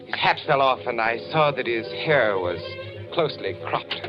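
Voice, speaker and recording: A man acting as a tense, secretive spy, narrating a 1940s radio drama in deliberate, suspenseful, clipped phrases. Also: medium pitch, lively intonation, natural voice. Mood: urgent, grim. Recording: dull vintage radio recording with music.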